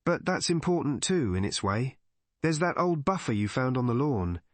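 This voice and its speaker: A man's voice: medium pitch, sing-song, normal speed, no echo, pitched above the performer's own voice, lightly acted. A man voicing an irreverent old police underling in a storybook, chatty, colloquial and matter-of-fact.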